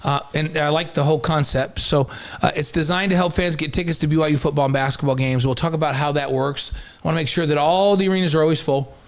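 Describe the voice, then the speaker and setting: A man's voice: fairly high pitch, fairly even intonation, quick pace. A man with an American accent co-hosting a sports show in casual, chatty conversation.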